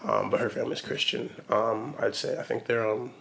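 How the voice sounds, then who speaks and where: A man in his twenties from Oregon, answering interview questions in a relaxed, hesitant way with frequent ums and likes. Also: medium pitch, moderate pace, vocal fry, slight background noise. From Portland, Oregon, USA. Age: 20s.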